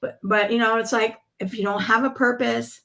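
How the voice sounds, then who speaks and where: A woman's voice: a little high, fairly even intonation, normal speed, creaky, a bit bright. A middle-aged woman whose first language is Spanish, chatting reflectively as a podcast guest. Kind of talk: casual video-call chat.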